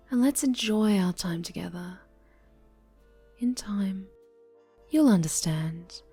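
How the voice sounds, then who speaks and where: A woman playing a possessive, sinister winged superhero in an intimate ASMR roleplay, cooing with soft menace and sometimes dropping to a breathy whisper. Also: mid-range voice. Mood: hushed, obsessive. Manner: cooing, condescending, softly menacing. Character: possessive, sinister winged female superhero, obsessive dark angel.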